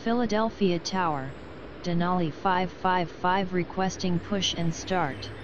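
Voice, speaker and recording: A computer-generated woman voicing a brisk airline pilot, reading clipped, formulaic calls through a radio filter. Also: fairly low pitch. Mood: brisk, procedural. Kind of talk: clipped air traffic radio readbacks. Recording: dull radio sound over noisy airport ambience.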